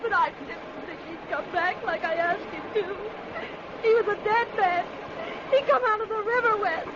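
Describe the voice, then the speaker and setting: A woman's voice: extremely high pitch, normal speed, crowd noise. An actress playing a distraught country girl in vintage radio horror style, pleading and breathless with rural speech. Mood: distraught, tearful. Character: distraught, frightened country girl haunted by the dead. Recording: muffled, noisy old radio recording.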